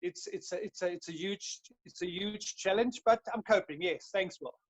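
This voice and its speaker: A man's voice: high voice, normal speed, no echo. A man from Austria with an Austrian accent, a hotel general manager answering interview questions hesitantly, often restarting phrases.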